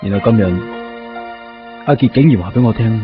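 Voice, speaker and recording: Man acting troubled police detective, Hong Kong Cantonese accent, grave measured radio-play monologue, slightly dull recording, music underneath. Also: lowish voice, lightly acted. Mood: troubled, conflicted. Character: troubled male police detective, brooding and conflicted. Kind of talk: radio drama inner monologue. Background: clear background music.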